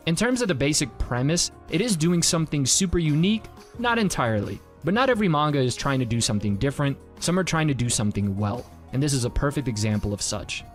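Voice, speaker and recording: A man's voice: fairly high pitch, sing-song, fast talker, few pauses. A man narrating a scripted review in the manner of an online video creator. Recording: music under the voice. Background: background music.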